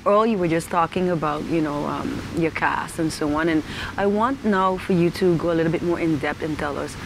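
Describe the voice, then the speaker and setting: A woman hosting an interview show, asking questions and affirming in a conversational manner. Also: fairly quick. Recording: noisy recording with rumbling ambience.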